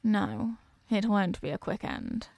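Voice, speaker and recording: An ancient eldritch goddess, acted by a woman in a horror audio roleplay, imperious and menacing. Her voice is mellow and gravelly, recorded very dry and intimate. She talks down to lesser beings with deliberate, hungry condescension. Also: medium pitch, big pitch swings, dry recording, heavily acted. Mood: ominous, condescending. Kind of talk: slow horror audio roleplay monologue, ASMR.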